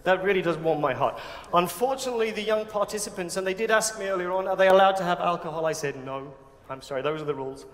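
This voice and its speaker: A man's voice: high-pitched, fast. An English man hosting an awards gala with a joking, self-mocking, chatty manner. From England. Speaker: awards host. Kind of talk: comic stage hosting. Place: large banquet hall with audience. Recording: long hall reverb.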